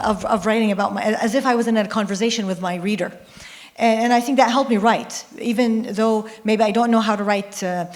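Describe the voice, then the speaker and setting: A woman whose first language is Arabic, answering as an author on a conference panel, conversational and self-correcting. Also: on the high side. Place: conference room.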